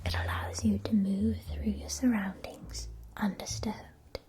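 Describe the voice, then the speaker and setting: Slow, whispering woman in ASMR roleplay. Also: mid-range voice, flat delivery. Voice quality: soft breathy whisper. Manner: slow, deliberate, carefully enunciated. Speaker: ASMR roleplayer as a witch school supply shopkeeper.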